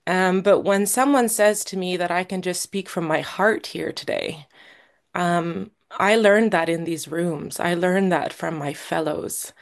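A woman speaking as the guest at a recovery meeting, sharing her own story in a halting, earnest way with many pauses and restarts, and a little nervous. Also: on the low side, gravelly. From Canada. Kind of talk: personal recovery share.

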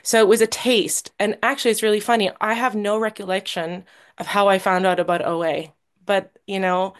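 Woman, recovery meeting speaker, earnest, halting, many restarts, slightly nervous, mid-pitched voice. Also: fairly even intonation. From Canada. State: a little nervous. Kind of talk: personal recovery share.

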